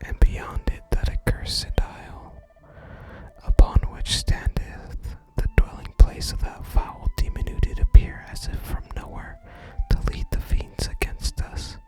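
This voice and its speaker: The voice is an entirely whispered, breathy ASMR delivery. It reads fantasy game dialogue in a gentle, deliberate way and slips into casual player commentary. Music is clearly audible behind the whisper. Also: low-quality recording. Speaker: ASMR gaming content creator.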